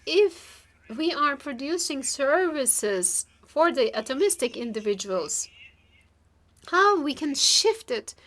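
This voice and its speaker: A woman with a high voice interprets a formal speech live. She delivers it piece by piece, halting between short phrases and stretching words as she goes. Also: big pitch swings, a bit bright. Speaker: simultaneous interpreter.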